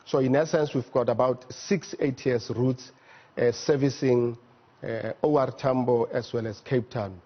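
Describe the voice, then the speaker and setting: Husky South African man, halting explainer. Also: mid-range voice, fairly even intonation, dull audio. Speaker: air traffic management executive, interview guest. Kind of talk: explanatory studio interview. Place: TV news studio.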